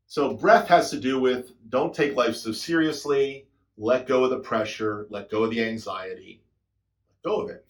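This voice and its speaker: Reflective man in his sixties. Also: mid-range voice. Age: 60s. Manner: introspective storytelling, soft trailing endings. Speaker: drummer and channel host. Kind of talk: reflective personal talk to camera.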